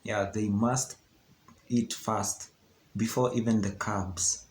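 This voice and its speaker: A man's voice: fairly low pitch, steady tone, slow. A young Kenyan man with a Kenyan accent, English not his first language, explaining things in a chatty vlog, often checking in with "okay? yeah". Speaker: vlogger. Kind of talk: casual educational vlog talk. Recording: roomy echo with faint background hiss.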